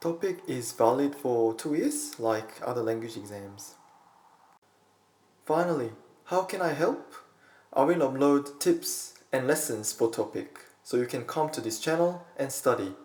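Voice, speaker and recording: Man, teacher, steady explanatory delivery, roomy echo. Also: medium pitch, unhurried. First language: Korean. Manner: steady, explanatory, addresses viewers directly. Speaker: Korean language teacher. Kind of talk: scripted online lesson.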